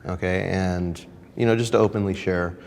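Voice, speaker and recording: A man speaking calmly and openly about his own life as a parent in a played video clip. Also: deep voice, normal speed, vocal fry, slight background noise. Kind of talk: earnest personal account. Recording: long reverb in a played clip.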